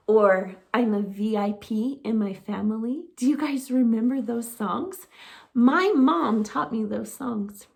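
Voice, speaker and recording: A middle-aged woman teaching preschoolers, talking to small children in an animated, sing-song teacher voice. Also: on the high side, vocal fry. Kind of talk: children's circle-time lesson to camera.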